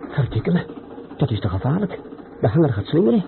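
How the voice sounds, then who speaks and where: Man, reckless truck driver, radio play, narrow muffled band, clearly noisy. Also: a little high, very animated, fairly quick. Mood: panicked. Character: reckless younger truck driver talking to himself, turning panicked.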